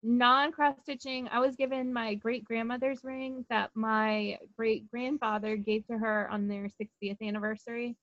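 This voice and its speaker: Thirties woman, co-host, casual chatty delivery, bright voice, dry muffled webcam sound. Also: a little high, flat delivery, a little slow, hardly any pauses, no echo. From New Hampshire and Virginia, US. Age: 30s. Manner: chatty, laughs easily. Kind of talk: casual video-call chat. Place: home room. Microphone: webcam on video call. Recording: dull lo-fi call audio.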